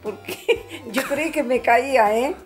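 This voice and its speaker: Grandmother, 70s, Spanish accent. Highish, very expressive voice, spontaneous exclamations, outdoor camera sound. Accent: Spain. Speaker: grandmother trying virtual reality. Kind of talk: spontaneous casual reactions. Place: outdoors on a stone patio. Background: background music.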